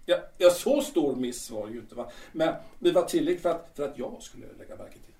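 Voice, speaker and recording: Man voicing a vain stage star, brash, stammering, lively pitch, roomy echo. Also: far from the mic. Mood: weary. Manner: boastful, stammering, ends lines with "va?". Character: vain, smoking male musical star, brash. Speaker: musical star Peter in his dressing room. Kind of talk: audio drama acting.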